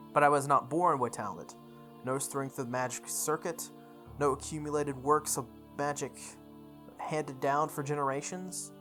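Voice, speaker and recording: A woman with a low-pitched voice hosts a game playthrough over clearly present music. She reads story text aloud in a deliberate, measured way and adds chatty, casual commentary of her own. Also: fairly bright tone. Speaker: gaming video host.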